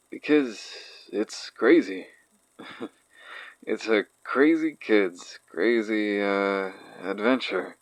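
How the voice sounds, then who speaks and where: A deep-voiced man, haunted and uneasy, recalls his past slowly and hesitantly. The horror audio drama testimony sounds natural and reflective, recorded close and dry. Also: dry recording, low-quality recording. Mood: uneasy, self-deprecating. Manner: hesitant, reflective, sighing delivery.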